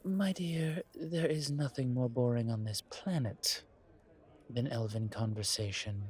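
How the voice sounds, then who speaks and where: Genteel vampire survivor, male actor, audio roleplay: world-weary and wary, unhurried delivery, mid-range pitch, courtly phrasing. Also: a little mellow, lightly acted. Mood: wry, amused. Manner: courtly, deliberate, pausing, sighing between phrases. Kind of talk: slow audio roleplay acting, addressed to listener.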